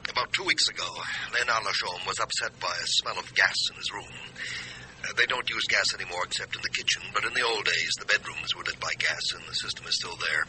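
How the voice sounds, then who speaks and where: Sharp-voiced, cautious lawyer. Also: male voice, low voice, fast, a little hiss or hum, rough recording. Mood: careful, hesitant. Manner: hesitant, careful, formal. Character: cautious, formal male lawyer reporting by telephone. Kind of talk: radio drama acting.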